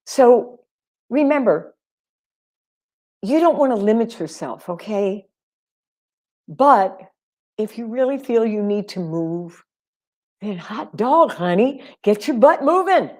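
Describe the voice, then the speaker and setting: A middle-aged woman vocal coach talking on a live stream, animated and emphatic, calling her listeners honey. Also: on the high side.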